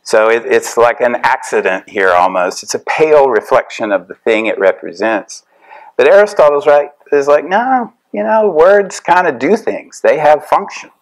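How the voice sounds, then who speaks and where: A man's voice: lowish voice, low-quality recording. Middle-aged man lecturing conversationally. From Tennessee, USA. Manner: conversational, animated. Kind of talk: lecture to a class. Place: hotel-style lecture room, indoors.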